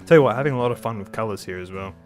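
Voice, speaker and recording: A man's voice: lowish voice, very fast, a little mellow, faint music behind. Slightly nasal, rambling man. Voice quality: a bit nasal. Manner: rambling, hesitant, many restarts. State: slightly sick. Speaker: art channel host. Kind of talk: casual commentary over a speed drawing.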